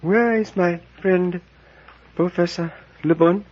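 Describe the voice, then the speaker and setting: A man playing a wounded Cambodian priest in a 1940s radio drama, speaking formally with solemn grief. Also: high voice. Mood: solemn, grieving. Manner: slow, formal, stilted speech. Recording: muffled vintage 1940s radio recording.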